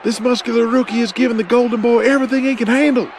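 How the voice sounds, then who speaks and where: Man acting a high-pitched, excitable wrestling commentator. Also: quick pace, a little hiss or hum. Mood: excited, outraged. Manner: folksy, exclamatory. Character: excitable partisan male 1980s pro-wrestling commentator.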